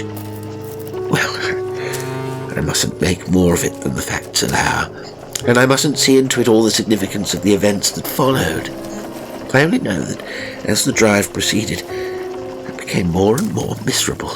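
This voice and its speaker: A man narrating a literary ghost story in the first person, with measured, dramatic phrasing and deliberate pauses. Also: fairly low pitch. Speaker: audiobook narrator. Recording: music behind the voice. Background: music.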